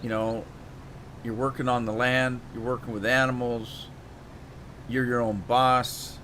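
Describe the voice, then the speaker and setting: A man's voice: mid-range voice, little pitch movement, measured pace, fairly bright tone, slight background noise. A man streaming a farming game, chatting in a rambling, folksy way while slightly losing his voice. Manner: rambling, folksy, restarts often. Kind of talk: casual live-stream chat while playing.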